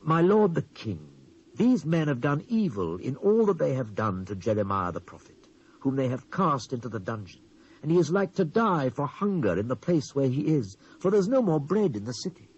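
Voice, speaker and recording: A man's voice: medium pitch, big pitch swings, normal speed, a little mellow, husky. Man playing earnest pleading servant, dull tape. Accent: British. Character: loyal male court servant pleading earnestly to king. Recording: dull lo-fi old cassette transfer.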